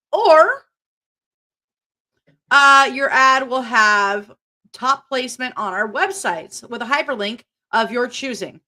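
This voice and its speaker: A woman's voice: high voice, bright, forceful tone. A woman co-hosting a podcast in casual chat, reading show announcements and stumbling over her words. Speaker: podcast co-host.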